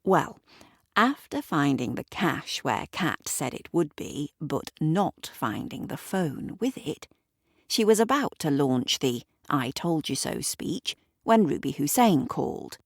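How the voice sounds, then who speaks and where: A woman's voice: low-pitched, big pitch swings, slow pace, dry recording. A woman narrating an audio drama in a wry tone, with dry asides to the listener. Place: recording studio.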